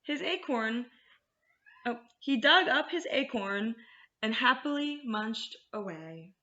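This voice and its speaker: A woman hosting storytime as a children's librarian, expressive and encouraging as she reads and explains to little ones. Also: on the high side, slow pace. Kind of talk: storytime read-aloud and teaching rhymes to children. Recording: echoey room, slightly muffled sound.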